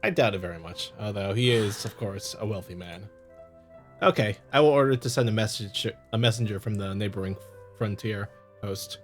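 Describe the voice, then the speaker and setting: A man's voice: lowish voice, normal speed, faint music behind, lightly acted. A man voicing a polite imperial detective in a visual novel, speaking in a formal, restrained, matter-of-fact way. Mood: measured, official. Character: polite, measured male imperial detective from a small village.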